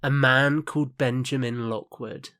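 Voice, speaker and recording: A man narrating a scripted audio drama in a measured, descriptive storytelling manner. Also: mid-range voice, steady tone, a bit bright. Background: background music.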